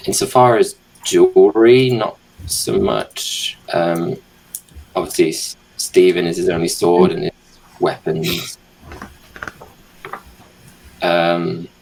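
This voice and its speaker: A British man improvising descriptions as a player in a tabletop roleplaying show, hesitant and measured. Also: sits low, vocal fry, darkish tone, a little hiss or hum, rough recording. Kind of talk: improvised description of a character's belongings.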